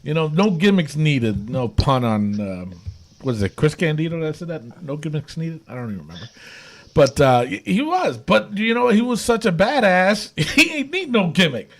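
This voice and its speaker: A man's voice: a little high, big pitch swings, normal speed. A man hosting a casual wrestling podcast, rambling in loose slang and laughing often. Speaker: podcast host.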